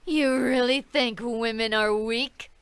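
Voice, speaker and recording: A woman's voice: fairly high pitch, fairly even intonation, slow, a bit bright, clean tone, dry recording. A woman voicing a cold, powerful female devil who talks down to everyone. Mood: mocking. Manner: taunting, condescending.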